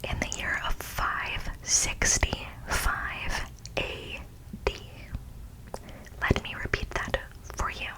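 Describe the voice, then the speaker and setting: Woman in a hushed ASMR whisper. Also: slow, pauses often, poor audio. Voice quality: breathy unvoiced whisper. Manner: informal, emphatic, repeats phrases. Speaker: ASMR video host. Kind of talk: whispered ASMR, part reading, part casual commentary.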